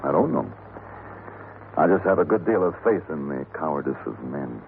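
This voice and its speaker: An actor playing a cool, dry-witted gunfighter for hire in a 1950s Western radio drama, wry, unhurried and self-assured. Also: male voice, extremely low pitch, sing-song, gravelly, exaggerated voice. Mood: calm, wry. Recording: muffled, hissy old radio recording.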